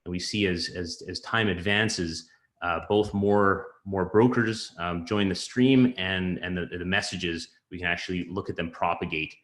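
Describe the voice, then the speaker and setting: A man explaining technical work as a presenter, haltingly, repeating words and breaking off phrases. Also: sits low, steady tone, a bit bright. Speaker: systems modeler presenting his work. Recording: roomy echo.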